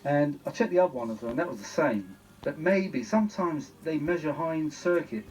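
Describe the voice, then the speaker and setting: A man's voice: fairly high pitch, lively intonation, brisk, darkish tone. A British man giving a casual, rambling spoken demonstration as a repair vlogger, chatty and given to exclamations. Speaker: vintage audio repair vlogger. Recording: noisy recording with clear background noise.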